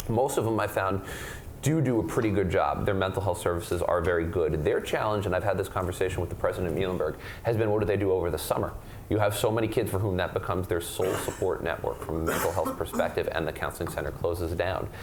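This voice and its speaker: A man's voice: sits low, slight background noise. A young adult man, a state legislator, earnestly answering questions at an advocacy forum and often restarting his sentences. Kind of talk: panel Q&A answers.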